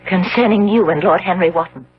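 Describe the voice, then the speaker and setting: A woman's voice: fairly low pitch, a little fast, natural voice. An actress playing a devoted, anxious young fiancée in a 1970s radio drama, earnest and hesitant in her melodramatic delivery. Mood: worried. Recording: dull, noisy old radio broadcast.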